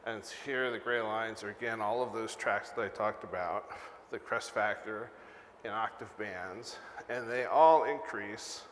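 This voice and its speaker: A man's voice: lowish voice, unhurried, fairly bright tone. An older man giving a technical conference lecture in an explanatory way, repeating points for emphasis, speaking on stage into a lapel mic. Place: large conference hall stage, indoors. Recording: echoey hall PA, some background noise.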